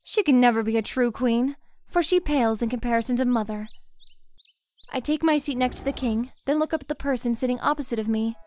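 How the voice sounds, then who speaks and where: A woman's voice: a little high, brisk, darkish tone, lightly acted. A woman voicing a cold, haughty teenage crown princess, narrating formally in a visual novel. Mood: cold, disdainful. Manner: formal, disdainful. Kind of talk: scripted first-person visual novel narration.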